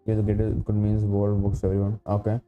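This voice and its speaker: A man's voice: fairly low pitch, monotone, fast talker, lo-fi sound, faint music behind. A man livestreaming a video game with casual commentary, often repeating words and restarting as he talks. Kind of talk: casual gaming commentary with a friend.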